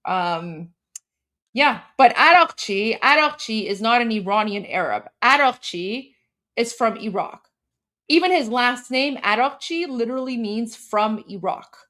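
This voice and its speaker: A woman's voice: a little high, animated, a little slow, a bit bright. A woman from Iran co-hosting a live stream, giving heated, opinionated commentary and talking straight to her viewers. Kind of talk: casual livestream commentary.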